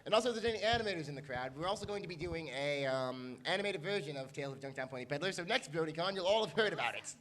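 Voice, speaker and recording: Slightly high-voiced man making informal announcements. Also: expressive, very fast, hardly any pauses, fairly bright tone. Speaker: panelist and project spokesman. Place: convention panel room, indoors.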